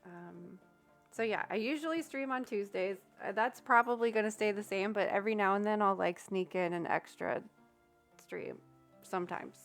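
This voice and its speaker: A woman's voice: medium pitch, normal speed, vocal fry, faint music behind. A young woman chatting casually on a livestream, giving readings and thinking aloud with many fillers. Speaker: streamer giving tarot readings. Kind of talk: casual livestream chat with viewers.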